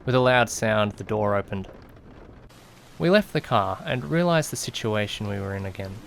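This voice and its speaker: A man's voice: fairly low pitch, animated, normal speed, creaky, background noise. A man playing an anxious, easily panicked young man who narrates his own misadventure in an audio drama, dramatic and questioning himself. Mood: tense narration. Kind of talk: first-person audio drama narration with acted dialogue.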